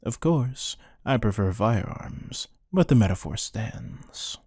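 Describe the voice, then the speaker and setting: A man playing a menacing, amused hired killer who taunts a captive in a deadpan, unhurried ASMR roleplay monologue. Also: lowish voice, husky, dry recording, clean studio sound, exaggerated voice. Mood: wry, musing.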